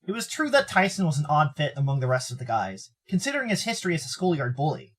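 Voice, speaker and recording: American male narrator for a visual novel, highly animated and theatrical, soft music underneath. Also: fast talker. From Arizona, United States. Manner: theatrical, expressive. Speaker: narrator and streamer.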